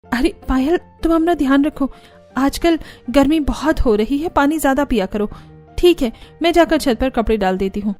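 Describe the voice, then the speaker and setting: A woman's voice: sits high, normal speed, a little mellow, faint music behind, close to an everyday voice. A woman voicing a practical, grumbling elder daughter-in-law in a cartoon family story, matter-of-fact and slightly aggrieved. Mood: concerned. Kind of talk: animated family story dialogue.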